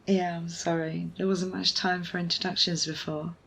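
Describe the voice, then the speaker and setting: A woman's voice: lowish voice, vocal fry, fairly bright tone, heavily acted. A woman playing a wry, evasive young rescuer in an intimate ASMR audio roleplay, with a sardonic, hesitant manner. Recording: noisy ambience behind close voice.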